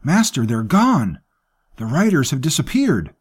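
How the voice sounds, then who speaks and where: A man's voice: on the high side, big pitch swings, moderate pace, a little mellow, pitched above the performer's own voice. A man voicing a frantic, deferential young male servant who gives breathless, urgent reports to his master. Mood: alarmed.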